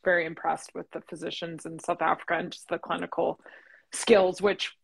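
A woman in her forties hosting an online interview, warm and encouraging, talking over a webcam video call from home. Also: lowish voice, level tone, moderate pace, gravelly, a little hiss or hum. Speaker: interview host, association president. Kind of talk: casual interview chat. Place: home room. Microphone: laptop webcam on video call.

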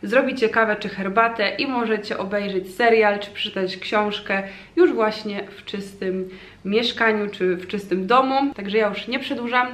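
A woman's voice: medium pitch, moderate pace, a bit bright. A young woman from Poland in her twenties, chatting casually to her viewers in a clean-with-me vlog, recorded on a compact camera mic in her home kitchen. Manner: chatty, addresses viewers directly, frequent fillers. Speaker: vlogger. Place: home kitchen and living room, indoors. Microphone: compact vlogging camera mic.

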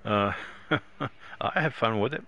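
A man's voice: lowish voice, slow pace, pushed and bright. A man doing casual live commentary as a gaming streamer, rambling and repeating his phrases.

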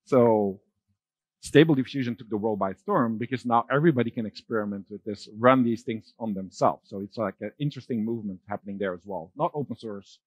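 A middle-aged man giving a conference keynote on a large dark stage, talking loosely with fillers and restarts. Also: on the low side, fairly quick, husky, no echo, highs cut off. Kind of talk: keynote talk with slides.

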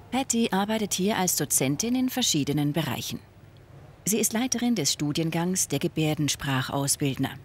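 A narrator reads a documentary voice-over and asks interview questions. The pitch moves freely and stays lively over a middle-range voice. A faint hiss sits behind the voice.

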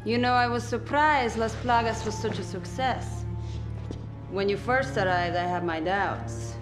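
An actress playing an ambitious, self-assured villainess, sultry and insinuating, in a video game cutscene. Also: female voice, medium pitch, a little slow, over music, lightly acted. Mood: composed.

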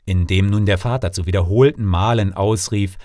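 A man reading a fairy tale as a storyteller, expressive, theatrical and deliberate. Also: low voice, dry recording, clean studio sound. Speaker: storyteller, actor.

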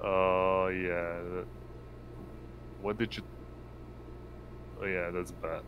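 Male game master, deep and slow-paced, hesitant delivery while narrating tabletop play. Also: level tone, phone-quality sound, a little hiss or hum. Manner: halting, searching for words.

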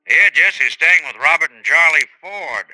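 An actor with an American accent playing a shrewd Old West sheriff in a 1950s radio western, terse, folksy and calmly goading. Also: male voice, a little high, measured pace, soft background music, heavily acted, poor audio. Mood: coaxing. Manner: terse, folksy, insistent drawling talk.

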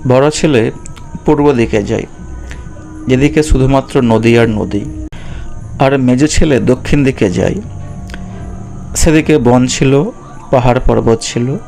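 A man's voice: mid-range voice, vocal fry, darkish tone. A man narrating a children's cartoon story as a storyteller.

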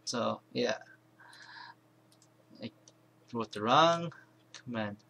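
A man presenting an informal software tutorial, thinking aloud in a halting, rambling way and correcting himself as he goes. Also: mid-range voice, animated. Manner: halting, rambling, self-correcting, mumbled asides. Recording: clear background noise, keyboard and mouse clicks.

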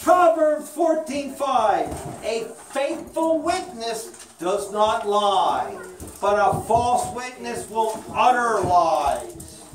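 Casting: woman reading and quizzing for kids, unhurried, recorded far off in a roomy, noisy space. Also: on the high side, lively intonation. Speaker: children's puppet show leader and narrator. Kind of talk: reading and quizzing children aloud. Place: small indoor classroom with children. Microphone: distant camera microphone. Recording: echoey room, far mic, clear noise.

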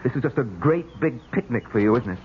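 A man's voice: mid-range voice, animated, fast talker, close to an everyday voice. An actor playing an irritable, uneasy married man, defensive and dismissive, in a 1970s radio drama. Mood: irritated. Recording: noisy, dull vintage radio broadcast.

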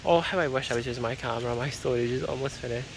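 A man's voice: mid-range voice, racing, noisy background. Chatty young man vlogging outdoors. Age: young adult. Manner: chatty, upbeat, rambling asides. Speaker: travel vlogger. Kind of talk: casual travel vlog narration. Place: outdoors at temples and parks. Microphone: phone camera at arm's length.

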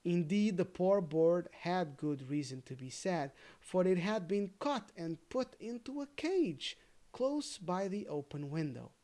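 A storybook reader telling a fairy tale in a careful, gently expressive way, with casual asides. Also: mid-range voice, unhurried.

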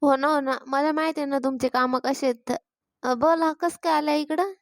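A woman's voice: high voice, steady tone, normal speed, gravelly, no room sound, clean studio sound. A woman voicing a sarcastic, grumbling village mother and daughter-in-law in a cartoon comedy, with a rural Maharashtrian accent. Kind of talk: Marathi cartoon comedy dialogue.

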